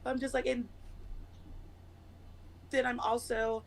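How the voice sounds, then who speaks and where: A woman guest, a little hoarse from a cold, talking nervously and quickly with many fillers and restarts. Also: on the high side, flat delivery. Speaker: interview guest. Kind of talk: conversational interview.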